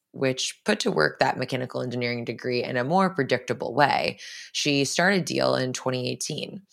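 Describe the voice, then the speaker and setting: A woman's voice: very deep voice, creaky. A young woman hosting a podcast, moving between scripted introduction and interview questions. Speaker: podcast host.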